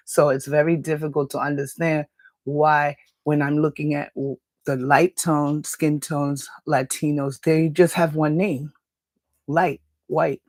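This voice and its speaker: A woman hosting a live talk radio show with a raspy voice and a dry throat, lecturing earnestly and answering viewer comments. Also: deep voice, darkish tone, no room sound. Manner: earnest, lecturing, with small laughs and restarts.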